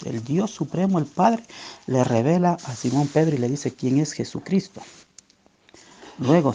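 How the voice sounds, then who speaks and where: A man's voice: medium pitch, creaky, mellow, a little hiss or hum. An Ecuadorian man giving a religious debate exposition, emphatic and didactic, asking rhetorical questions as he cites scripture.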